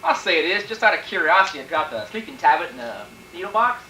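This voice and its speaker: A man as a fast-talking brash wisecracker. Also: fairly high pitch, big pitch swings, pushed and bright. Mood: brash, joking. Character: wisecracking eager cocky everyman husband. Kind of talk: 1940s radio comedy acting. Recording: echoey stage, distant, faint noise.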